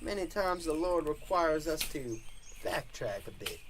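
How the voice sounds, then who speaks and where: A man's voice: a little high, expressive, unhurried, noisy background. A man playing a cryptic, pious minister in an amateur radio drama, preachy and theatrical. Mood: sanctimonious, cryptic. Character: cryptic, pious backwoods male minister and guide.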